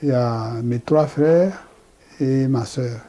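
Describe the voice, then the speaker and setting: An older man from Congo, a pastor recounting his life story slowly and haltingly. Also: mid-range voice, warm tone. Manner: slow, halting, repeats words. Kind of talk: personal testimony.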